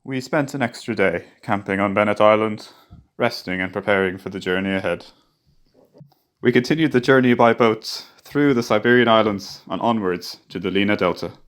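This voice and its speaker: Man as seafaring narrator, fairly low voice, sober account, polar survival radio drama. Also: moderate pace, a bit bright, natural voice. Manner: sober storytelling. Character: chief engineer recounting a grim polar expedition.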